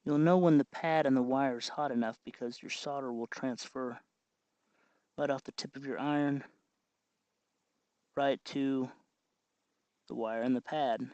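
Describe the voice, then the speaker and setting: A dry, slightly dull recording of a man with a mid-pitched voice. He hosts a hands-on tutorial in an informal style. He explains things step by step, as if to viewers at home. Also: fairly even intonation, measured pace, long pauses, darkish tone, dry recording. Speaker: tutorial host. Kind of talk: casual hands-on electronics tutorial.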